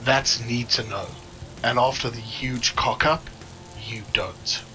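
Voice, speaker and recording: An actor playing a cold, controlled criminal go-between in a noir audio drama, formal, curt and disdainful. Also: male voice, mid-range voice, steady tone, slow, sharp tone, slight background noise. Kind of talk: scripted audio drama dialogue.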